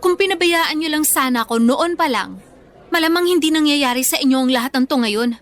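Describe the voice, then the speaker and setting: A high-pitched young Filipina student played by a woman in a radio drama. She is wronged and vengeful, throwing out scornful taunts with emphatic, confrontational force. Faint music sits behind her. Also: fairly quick, lightly acted. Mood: bitter.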